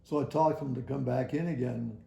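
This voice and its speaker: Older man, mellow tone, unhurried, halting folksy storyteller, oral history, roomy echo. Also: mid-range voice, fairly even intonation, gravelly. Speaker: retired air traffic facility worker.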